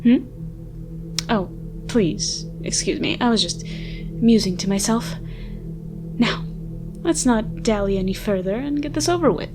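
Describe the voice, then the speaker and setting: Woman as theatrical, slow-talking vampire lady. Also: a little high, natural voice. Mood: mock polite, menacing. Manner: theatrical, wordy, dry mock-formal speech. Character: haughty, flustered ancient vampire lady, sardonic and secretly soft. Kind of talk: ASMR roleplay, dramatic monologue to the listener. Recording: music behind the voice. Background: music.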